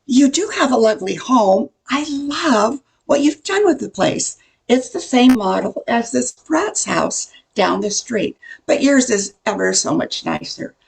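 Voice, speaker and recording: Older woman acting a nosy neighborhood gossip, high creaky voice, chatty, insinuating, radio comedy. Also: very animated, unhurried, heavily acted, poor audio. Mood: chatty, judging. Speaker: amateur radio play performer.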